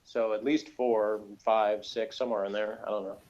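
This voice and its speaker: A man's voice: lowish voice, level tone, normal speed, darkish tone. Opinionated man, dull lo-fi sound. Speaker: co-host of a TV episode review show. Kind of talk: casual review chat.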